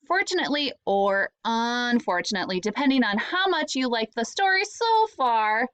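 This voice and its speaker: A woman's voice: on the high side, a bit bright, no room sound. A woman, an elementary school teacher, reading a children's storybook aloud expressively, lingering on key words.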